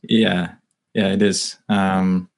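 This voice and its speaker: A young man from Estonia, a native Estonian speaker, talking in a casual interview over a remote call, thoughtful and halting with many restarts and fillers. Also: low voice, little pitch movement, gravelly. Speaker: guest, writer and musician.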